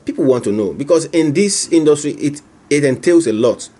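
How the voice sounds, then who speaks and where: Male interviewer, husky tone, probing, rephrases his questions. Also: fairly high pitch, animated, a little fast, dark tone. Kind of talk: on-camera interview.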